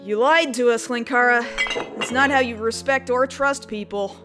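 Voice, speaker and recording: A frank, loyal survivor acted by a woman talks plainly in a scripted drama. The voice sits slightly high, with faint music behind it. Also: normal speed, a bit bright, lightly acted. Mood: hurt, reproachful. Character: frank, steadfast woman in an embattled group. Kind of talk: scripted web film dialogue.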